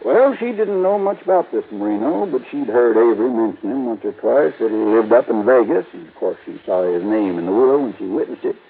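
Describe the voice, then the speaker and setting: An actor playing a folksy small-town insurance agent in a 1950s radio drama, with a homespun drawl. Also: male voice, medium pitch, lively intonation, brisk, few pauses, husky. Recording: tinny old radio broadcast, faint hiss.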